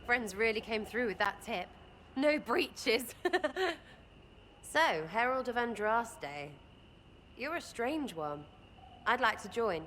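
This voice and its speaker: An actress playing a cheeky, irreverent lowborn woman archer who talks in crude, mocking, rambling banter. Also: expressive, slow pace, pauses often, fairly bright tone, slight background noise.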